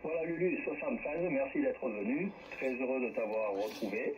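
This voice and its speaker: A man's voice: medium pitch, expressive, normal speed. A man running an amateur radio round, chatting casually over a shortwave transmission. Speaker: amateur radio net controller. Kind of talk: casual ham radio chat. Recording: muffled, noisy ham radio reception. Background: radio static and hiss.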